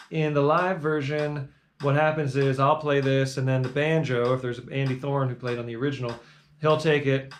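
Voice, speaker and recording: A man teaching a live bluegrass jam lesson, calmly explaining the arrangement step by step and counting the band in. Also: mid-range voice, a little hiss or hum. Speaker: guitar teacher leading a jam. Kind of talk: live online music lesson. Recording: loud bluegrass guitar playing along.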